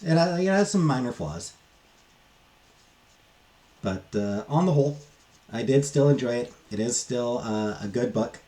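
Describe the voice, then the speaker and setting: A man talking casually and unscripted as a book reviewer, in a halting, hesitant way with trailing asides. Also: medium pitch, a little mellow.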